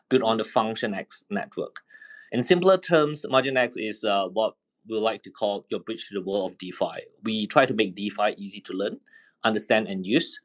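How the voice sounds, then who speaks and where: A man with a fairly low voice moderates a casual online panel. He talks in an easygoing, chatty way and often stops to restart a sentence. His delivery stays informal and conversational. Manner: relaxed, chatty, many fillers and restarts. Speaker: host and moderator.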